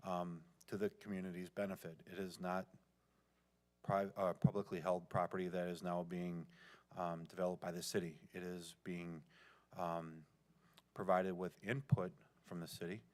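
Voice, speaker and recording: Man, American accent, deep voice, monotone, halting formal phrasing, council member speaking at a meeting.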